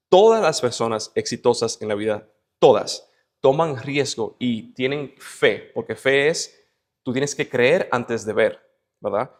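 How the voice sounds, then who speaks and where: A man with a Dominican Spanish accent speaking as an interview guest in an emphatic, motivational way, often building points with lists and rhetorical questions. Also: medium pitch. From Dominican Republic.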